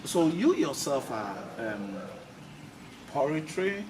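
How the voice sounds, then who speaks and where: Man, interview host, animated intonation, casual, encouraging, repetitive phrasing, distant noisy recording. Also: fairly high pitch, slow. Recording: distant mic, clearly noisy background.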